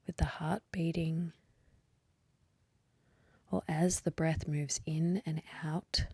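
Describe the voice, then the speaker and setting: A woman leading a guided meditation in a soft, breathy voice, gently and unhurriedly, with long pauses. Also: low-pitched, flat delivery, dry recording. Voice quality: soft, breathy, partly whispery. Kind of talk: guided meditation, slow calm instruction.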